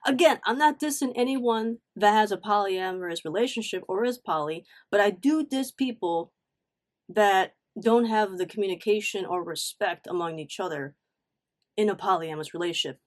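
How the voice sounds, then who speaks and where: A woman telling a casual story-time vlog in a blunt, slangy, animated way, with frequent conversational asides. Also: medium pitch, normal speed. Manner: animated, blunt, swearing, acts out quotes. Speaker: online storyteller.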